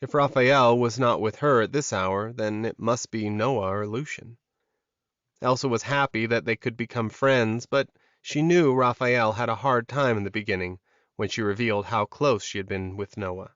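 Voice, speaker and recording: A man's voice: medium pitch, normal speed, fairly bright tone, dry recording, highs cut off. A man reading scripted fiction as an audiobook narrator, in a dramatic storytelling style.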